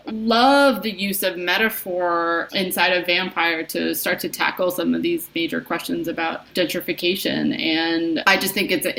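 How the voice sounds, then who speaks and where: A woman's voice: lowish voice, gravelly, sharp tone, poor audio. A woman talking informally as a guest in a panel discussion about theatre.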